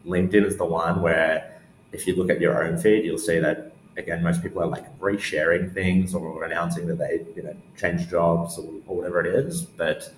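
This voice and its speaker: Casual young male guest, deep voice, halting delivery, echoey room. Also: steady tone. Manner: casual, halting, thinking aloud. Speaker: interview guest, newsletter founder. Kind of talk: casual interview answers. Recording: roomy echo, faint background noise.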